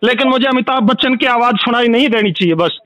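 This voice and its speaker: A man's voice: very high-pitched, quick pace, fairly bright tone, dry recording. An angry man making a heated complaint as a customer on a phone call, insistent and repetitive. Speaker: complaining customer calling a helpline.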